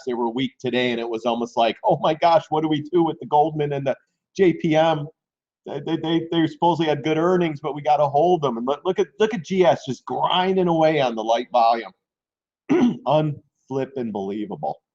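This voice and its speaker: A man hosting a live stock trading stream in casual chat, hoarse and losing his voice, clearing his throat often. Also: medium pitch, a little mellow. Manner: keeps clearing his throat, chatty and informal. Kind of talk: casual live market commentary. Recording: dull lo-fi stream audio.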